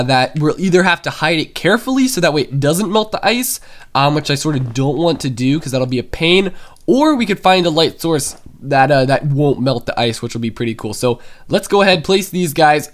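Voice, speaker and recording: A young man with a stuffy cold voice, chatting excitedly through a Minecraft let's play as a gaming YouTuber. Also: on the high side. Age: young adult. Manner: chatty and excitable, many fillers.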